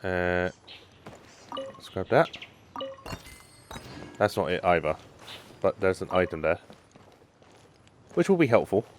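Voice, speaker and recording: Low, unhurried gamer commentary. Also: big pitch swings, faint music behind. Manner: low-key, narrates own actions. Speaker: game streamer. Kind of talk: casual let's play commentary.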